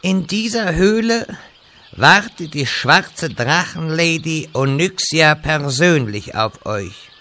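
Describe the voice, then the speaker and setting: A man's voice: fairly high pitch, expressive, slow pace, pushed and bright, heavily acted. A man playing an old, cryptic wizard mentor in a German comedy fantasy audio drama, lecturing in a portentous way. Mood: ominous.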